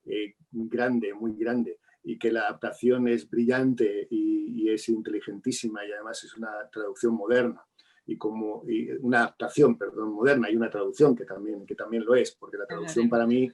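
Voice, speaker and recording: Even-toned Spanish-speaking man, hesitant. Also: lowish voice, fast, slightly rough, low-quality recording. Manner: hesitant, searching for words. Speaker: theatre director. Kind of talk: unscripted post-show Q&A.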